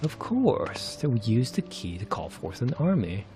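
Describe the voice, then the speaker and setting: A man's voice: mid-range voice, very animated, unhurried, mellow, faint music behind. Male reader as a polished, self-assured fantasy game adventurer; formal old-world phrasing, swooping intonation. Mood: suave, assured. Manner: formal, archaic, theatrical phrasing. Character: polished, confident, courteous adventurer seeking a wanted pirate. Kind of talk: narrated fantasy game dialogue.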